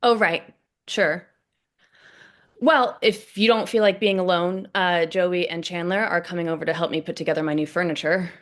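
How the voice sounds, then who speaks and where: A woman's voice: on the low side, steady tone, normal speed, a bit bright, lightly acted. A woman reading the part of an awkward, hesitant young man in a sitcom table read.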